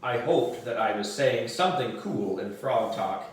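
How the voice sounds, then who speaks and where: A man reading a children's story aloud in an animated way, with dramatic emphasis. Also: mid-range voice, a little slow. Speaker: audiobook reader for a book club. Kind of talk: expressive children's storybook narration. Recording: roomy echo, distant microphone.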